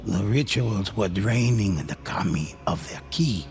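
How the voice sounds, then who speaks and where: An actor playing a solemn storyteller of ghostly samurai legends, narrating a game in a measured, dramatic way. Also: male voice, on the low side, lively intonation, darkish tone. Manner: slow, dramatic, measured delivery. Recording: music under the voice.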